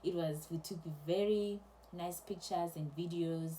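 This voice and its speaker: A young woman casually telling a travel story to camera, chatty and animated, with many restarts. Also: fairly low pitch, measured pace, a little hiss or hum, low-quality recording. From South Africa. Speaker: travel vlogger.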